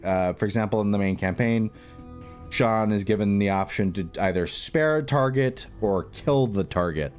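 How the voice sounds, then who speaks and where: Low-voiced man narrating a casual tutorial. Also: darkish tone. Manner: informal, thinking aloud as he works. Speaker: video tutorial host and game modder.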